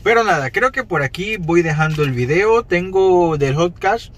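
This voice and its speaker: A man's voice: sits high, animated, moderate pace, highs cut off. A man in his thirties chatting casually to viewers while unboxing toys in a parked car at night. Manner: chatty, upbeat, talks straight to viewers. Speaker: toy collector vlogger.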